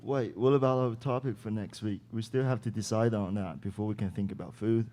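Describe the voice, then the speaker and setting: A man's voice: fairly low pitch, fairly even intonation, fairly quick, dark tone, close to an everyday voice. A young man acting a blunt, practical student broadcaster in an amateur radio play. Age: young adult. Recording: clear background noise.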